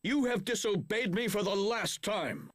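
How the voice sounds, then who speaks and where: A man's voice: high-pitched, a little slow, a little hiss or hum. An actor playing a stern, middle-aged lord and father in a video game, speaking formally and commandingly. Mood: stern anger. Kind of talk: English video game cutscene acting.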